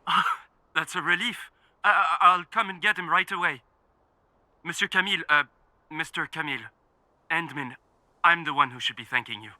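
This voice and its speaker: A man's voice: fairly high pitch, moderate pace, long pauses, pushed and bright, no room sound. An actor voicing a timid, apologetic young male helper in a video game, nervous, stammering and deferential. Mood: relieved, grateful.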